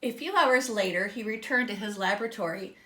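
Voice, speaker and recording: A woman reading a children's story aloud in an expressive, dramatic way, with asides to her listeners. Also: mid-range voice, brisk. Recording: echoey, roomy sound.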